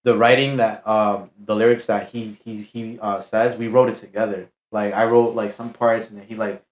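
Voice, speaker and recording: A man's voice: on the low side, flat delivery, normal speed. Casual young man in an echoey room. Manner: laid-back slangy talk, full of fillers. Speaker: podcast guest. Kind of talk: casual chat.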